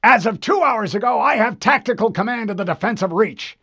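A man voicing a gruff, impatient older vice admiral who barks orders over a radio link. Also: extremely high pitch, very animated, normal speed, voice pushed up, exaggerated voice. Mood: barking. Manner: barking orders, raised and forceful.

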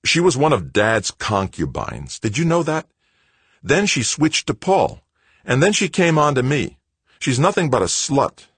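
A man's voice: lowish voice, sing-song, moderate pace, husky, no room sound, pitched above the performer's own voice, exaggerated voice. A man voicing a volatile, bitter adult son in an audiobook, with a snarling, ranting delivery. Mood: bitter, shocked. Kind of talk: audiobook character acting.

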